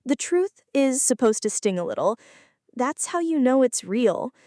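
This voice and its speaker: A woman playing a flirty, anxious female friend in an intimate ASMR roleplay, speaking softly and dramatically, close to the listener. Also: high-pitched, very animated, a little slow, no echo. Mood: teasing, flirty. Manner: soft, close, dramatic pleading and confiding.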